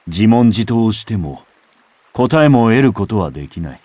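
Low-voiced man reading literature, very animated intonation. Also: normal speed, no room sound. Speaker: audiobook reader. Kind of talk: literary recitation, scripted first-person narration. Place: home recording.

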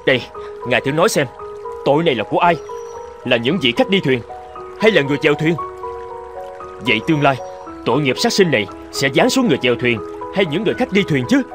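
A man acts out a young cartoon scholar full of self-righteous indignation. He argues and boasts in exclamations, his pitch very animated. Music plays behind him. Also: quick pace, pauses often. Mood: indignant. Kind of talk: Vietnamese cartoon dubbing.

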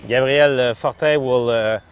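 A man's voice: mid-range voice, steady tone, a little slow, music in the background. Middle-aged man presenting outdoors. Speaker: ski test host. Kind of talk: casual product review and interview. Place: outdoors on a snowy ski slope.

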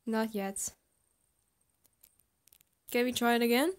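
A woman voicing a gentle, affectionate, sly male scientist who coaxes and soothes in an amateur comic dub. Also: on the high side, slow pace. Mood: coaxing.

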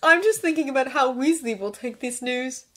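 A woman's voice: sits high, expressive, brisk, a bit bright, voice pushed up. A woman voicing a sarcastic, stubbornly loyal teenage boy wizard with a hot temper, in emphatic, defiant audiobook dialogue. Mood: smug teasing.

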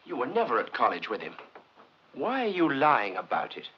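High-strung, animated male scientist. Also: normal speed. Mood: accusing. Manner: tense, clipped. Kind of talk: 1950s film acting. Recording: dull, noisy 1950s film soundtrack.

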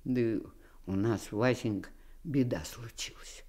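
An actress playing a kindly, fussing nanny in a radio drama, folksy and affectionate. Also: female voice, very deep voice, slow pace, mellow. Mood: worried, confiding. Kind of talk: Soviet radio drama acting.

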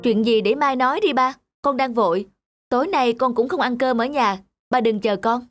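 High, girlish cartoon daughter played by a woman. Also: moderate pace, soft background music, close to an everyday voice. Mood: defiant. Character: headstrong, carefree young daughter. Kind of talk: animated moral-tale dubbing.

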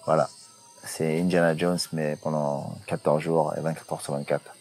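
A man in a jungle outdoors, talking in slangy, excitable, joking banter as a reality show contestant. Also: extremely low pitch, normal speed, faint music behind. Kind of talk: casual banter and confessional.

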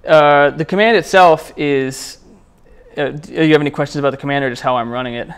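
A man presenting at a programming meetup in a casual, conversational, somewhat halting way as he walks through a live demo. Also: a little high, clean tone. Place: indoor meetup room, speaking before a small group.

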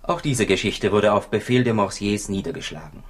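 Low husky male voice, weary middle-aged police commissioner played by a man, confiding, vintage radio play. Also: brisk, natural voice. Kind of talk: 1960s German-language radio drama acting.

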